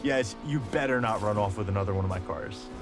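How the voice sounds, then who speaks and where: A man's voice: on the low side, quick pace, natural voice. An actor playing an easygoing, loyal young male street racer, trading teasing banter in a game cutscene. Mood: playful, nostalgic. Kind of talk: naturalistic game cutscene dialogue. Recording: music behind the voice.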